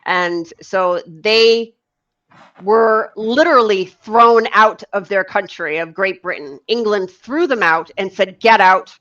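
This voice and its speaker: A woman with a North American accent, explaining things to a learner in casual conversation, in measured phrases. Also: medium pitch, a bit bright. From Canada and the northeastern US. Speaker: conversation partner. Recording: dull lo-fi online call audio.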